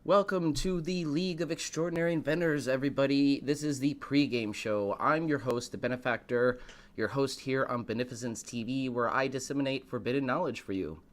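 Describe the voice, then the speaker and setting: A man hosting a live stream, a little hoarse, greeting chat and building up the evening with showy, dramatic lines. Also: mid-range voice, brisk. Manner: showy, dramatic build-ups addressed to viewers. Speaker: host of a live stream show.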